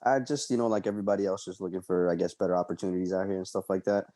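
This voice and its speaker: A young man from El Salvador, a fighter being interviewed, answering casually and haltingly with many ums and uhs. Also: deep voice, steady tone, warm tone, no room sound, clean studio sound. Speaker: MMA fighter guest.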